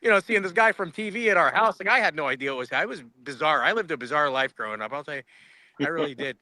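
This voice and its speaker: A man's voice: on the high side, expressive, fast, pushed and bright, no echo. A man telling casual personal anecdotes in a scattered way, often repeating himself. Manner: scattered, repetitive phrasing. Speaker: talk show guest.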